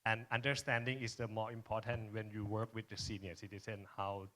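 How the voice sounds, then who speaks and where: Male conference speaker, Thai accent, forceful bright tone, halting informal delivery that keeps checking in with listeners. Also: fairly low pitch, little pitch movement. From Thailand. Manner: halting, frequent tag questions "right?". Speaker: conference speaker, social enterprise presenter. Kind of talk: informal presentation and panel answer.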